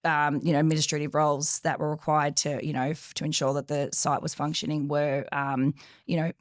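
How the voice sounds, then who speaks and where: A woman's voice: deep voice, flat delivery, a little fast, dry recording, clean studio sound. A young woman being interviewed as a guest, answering hesitantly with many fillers while losing her voice. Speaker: project coordinator guest.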